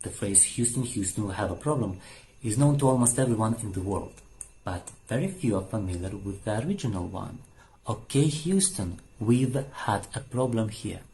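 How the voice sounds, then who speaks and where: A man explains a point of English grammar with confident, animated delivery. His voice sits fairly low, with a faint hiss of noise behind it. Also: moderate pace, rough recording. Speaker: English lesson presenter. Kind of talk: lively mini grammar lesson.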